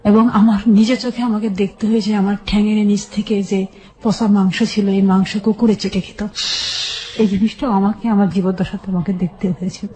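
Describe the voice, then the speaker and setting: Sombre, even-toned Bangladeshi woman. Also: mid-range voice, normal speed, low-quality recording. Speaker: guest telling her life story. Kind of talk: personal testimony in a radio interview.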